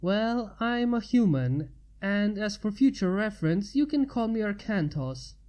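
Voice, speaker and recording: A woman voicing a wounded male mage, a polite, weary stranger who speaks weakly and haltingly, for a fantasy storybook. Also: medium pitch, expressive, dark tone, lightly acted. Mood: curious, apologetic. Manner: weak, halting, courteous.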